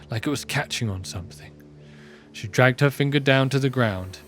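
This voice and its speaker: A male narrator reads a scripted fantasy story. His pitch is average for a man, and music runs softly beneath the storybook prose. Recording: music bed under voice.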